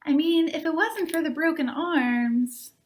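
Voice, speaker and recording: A woman, a librarian, reading a children's book aloud and chatting to viewers in an expressive, upbeat way. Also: high-pitched, a little slow.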